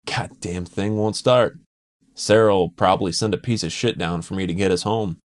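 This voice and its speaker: A man voicing a weary, resigned male van driver who grumbles flatly. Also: deep voice, moderate pace, natural voice. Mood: grumbling.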